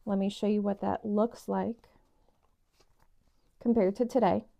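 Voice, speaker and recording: A young woman vlogging casually about her budget, her voice raspy since she just woke up, chatty and rambling with fillers. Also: mid-range voice, steady tone, a little slow, warm tone. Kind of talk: casual chat to camera.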